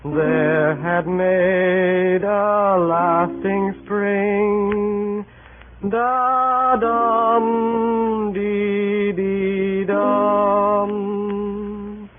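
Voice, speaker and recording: A woman singing slowly in a 1930s radio play. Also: medium pitch, steady tone. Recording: muffled, band-limited 1930s radio broadcast. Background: faint music.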